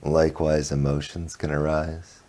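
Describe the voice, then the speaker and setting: A man leading a guided meditation, speaking softly in short phrases with long pauses between them. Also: extremely low pitch, flat delivery. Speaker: meditation teacher.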